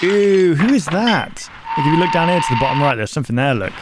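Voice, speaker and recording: A man's voice: fairly high pitch, expressive, fast talker, very noisy. A man giving casual reaction commentary as a video host, thinking aloud informally and often posing questions. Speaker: YouTube host reacting to viewer-recommended horror videos. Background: prominent music behind the voice.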